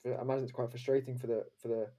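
A man gives interview answers at a quick pace in a soft-edged, mellow voice. He sounds reflective and conversational, hesitating and reworking his phrases as he goes. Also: medium pitch, flat delivery. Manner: hesitant, self-correcting, reflective. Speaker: interview guest, journalist.

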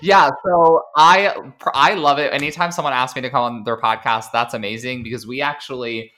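A man's voice: mid-range voice, fairly quick, pushed and bright. A man guesting on a podcast over a call, chatting casually about his business with lots of fillers. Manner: chatty, informal, frequent "like" and "um". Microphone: remote call.

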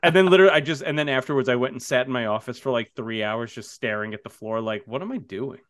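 A man, a horror writer who teaches English, chatting on a podcast, laughing easily and telling quick anecdotes. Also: mid-range voice, fairly bright tone, no echo. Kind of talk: casual podcast chat.

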